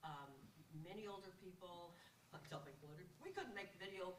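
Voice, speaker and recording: A woman's voice: fairly low pitch, a little fast. An older woman in an audience, speaking frankly and emphatically with some self-deprecating humor during a panel Q&A. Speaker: audience member asking a question. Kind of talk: panel Q&A comment. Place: conference hall audience. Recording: echoey hall, distant mic, room noise.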